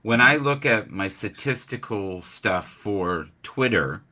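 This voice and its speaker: Bright-voiced male chat host. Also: fairly low pitch, animated. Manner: halting, restarts, many fillers. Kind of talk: casual chat.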